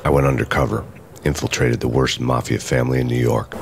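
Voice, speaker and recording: An actor playing a world-weary undercover detective, deadpan and brooding, narrating a grim hard-boiled noir game story. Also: male voice, rumbling low, a little fast, gravelly, noisy background.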